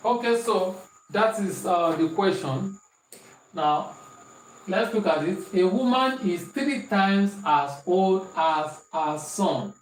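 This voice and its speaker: A man's voice: high voice, expressive, takes it slowly, far from the mic. A man with a Nigerian accent teaching a maths lesson online, repeating questions and reading out students' answers. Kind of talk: online maths lesson, working through examples. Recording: echoey room, voice off-mic, faint hiss.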